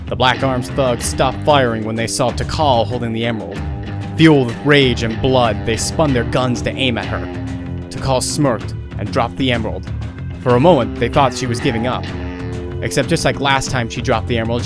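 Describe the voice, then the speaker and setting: A man narrating a scripted audio drama as storyteller. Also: mid-range voice.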